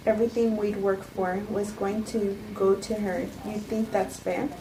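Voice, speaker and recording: A woman reads as a self-justifying woman who calmly confesses to poisoning. Her delivery is halting, like a cold script read. She sounds distant in an echoey room, with clear noise behind her. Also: mid-range voice, close to an everyday voice. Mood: defensive. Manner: halting, stumbling amateur reading. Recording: echoey room, distant mic, noisy background.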